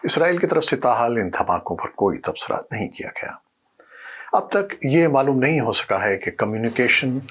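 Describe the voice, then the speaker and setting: Male news presenter, formal and measured, husky tone with strongly animated intonation. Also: fairly low pitch. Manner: formal, measured newsreader delivery. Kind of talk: scripted news narration.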